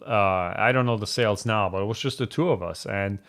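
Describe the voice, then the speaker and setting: A man's voice: fairly low pitch, brisk, a bit bright. A man from Germany hosting a casual live question-and-answer chat, speaking in a candid, blunt and rambling way. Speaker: host answering viewer questions.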